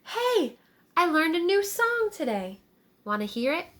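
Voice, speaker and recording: A woman's voice: extremely high pitch, very animated, unhurried, a bit bright, voice pushed up, full character voice. A woman voicing a proud, eager little kindergarten boy in a children's storybook read-aloud. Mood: eager, excited.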